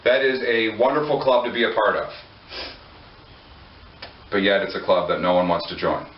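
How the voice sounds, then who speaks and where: A man's voice: lowish voice, fairly even intonation, normal speed, a little hiss or hum. A man preaching a sermon in a conversational way, joking and putting questions to his listeners. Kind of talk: sermon to a congregation. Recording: echoey room, far from mic, dull lo-fi.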